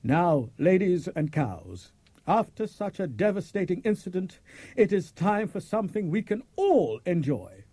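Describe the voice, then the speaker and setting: A man's voice: high-pitched, big pitch swings, unhurried, mellow, no room sound, heavily acted. A man playing an exasperated but resourceful old farmer and gardener in a storybook, speaking with emphatic, theatrical delivery. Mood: showman-like. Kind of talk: audiobook character acting. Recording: cassette audiobook with music behind.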